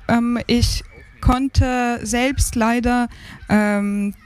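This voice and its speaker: A woman's voice: on the high side, steady tone, very slow, clear, resonant tone. A woman in her thirties from Ukraine with a Ukrainian accent, answering as an interview guest and hesitantly searching for words. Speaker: interview guest, advisory board member.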